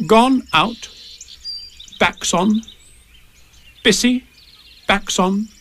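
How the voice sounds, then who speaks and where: A man voicing a brisk, self-important rabbit in a children's story, clipped and officious. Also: high voice, fairly bright tone, slight background noise, pitched above the performer's own voice, heavily acted. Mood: excited, important.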